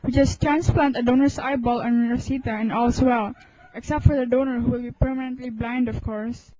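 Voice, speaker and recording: A woman's voice: a little high, fairly even intonation, fairly quick, mellow, lightly acted. A young woman playing a brusque, evasive hospital doctor in a student radio drama. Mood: businesslike. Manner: brusque, dismissive. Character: curt, evasive, irritable hospital eye doctor. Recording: muffled amateur recording, faint noise.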